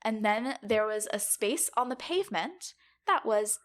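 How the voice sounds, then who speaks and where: A woman's voice: fairly high pitch, lively intonation, a little slow. A young adult woman co-hosting a podcast, explaining a topic in a casual conversational way. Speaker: podcast co-host presenting the episode's topic.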